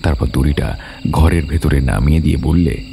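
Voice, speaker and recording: Story narrator: man with an extremely low, heavily creaky voice, solemn and dramatic, music underneath. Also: normal speed, mellow.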